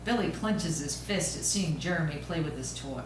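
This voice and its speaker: Low-voiced woman narrator, very echoey. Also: lively intonation, normal speed. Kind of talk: scripted narration at an amateur table read. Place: echoey room, recorded from a distance. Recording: very echoey room, distant mic, clear noise.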